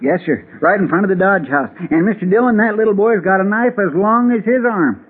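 Eager cowboy deputy type, high voice, old phone-thin radio sound. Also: male voice, expressive, brisk. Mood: anxious, excited. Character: earnest, excitable deputy-like sidekick to the marshal. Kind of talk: 1950s radio western acting. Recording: tinny 1950s radio recording.

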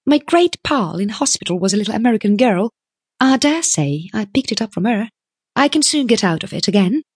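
A woman's voice: medium pitch, animated, fairly quick, breathy edge, no room sound, studio quality, pitched above the performer's own voice. A woman voicing a plucky, self-possessed young woman in an audiobook, speaking in a pert, direct manner. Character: small, plucky, sharp-witted young woman seeking work. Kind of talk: audiobook character voice.

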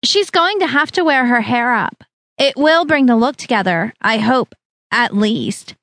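A woman voicing a snobbish middle-aged future mother-in-law who talks in a prim, condescending, drawn-out way. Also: a little high, animated, a bit bright, no echo. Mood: disapproving.

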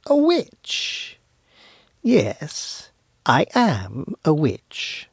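A man voicing a wicked, boastful old witch in a storybook, with a craggy voice and slow, threatening delivery. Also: fairly high pitch, huge pitch swings, breathy edge, dry recording, clean studio sound, exaggerated voice. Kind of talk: storybook narration character voice.

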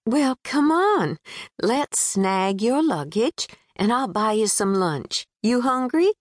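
A woman's voice: a little high, sing-song, takes it slowly, dry recording, studio quality, pitched above the performer's own voice, heavily acted. Woman doing a drawling Tennessee gal. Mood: breezy. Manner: breezy, folksy, drawling. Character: brash friendly young Southern woman.

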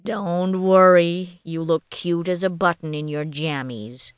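A woman voicing a calm, supportive woman friend, playful and reassuring, in audiobook dialogue. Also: lowish voice, unhurried, no echo, clean studio sound. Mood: teasing.

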